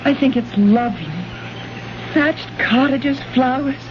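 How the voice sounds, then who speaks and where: An actress playing a gentle, romantic woman space traveler in a vintage radio drama, earnest and expressive in delivery. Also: high-pitched, a little slow. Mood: enchanted. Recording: noisy, dull old radio broadcast recording.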